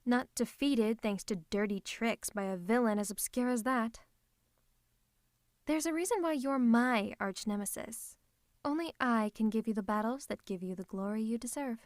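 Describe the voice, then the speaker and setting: A woman's voice: a little high, moderate pace, dry recording, clean studio sound, natural voice. A woman voicing a theatrical, possessive female supervillain who is secretly a tender lover, in a scripted ASMR roleplay with a dramatic, haughty, teasing manner. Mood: tender, proud. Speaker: ASMR voice performer.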